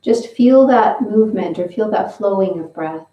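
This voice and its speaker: Gentle woman guiding breathing, roomy sound. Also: mid-range voice, expressive, distant mic. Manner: slow, gentle step-by-step instructions. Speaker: breathing and movement instructor. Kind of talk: guided breathing and stretching session. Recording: roomy, from away from the mic.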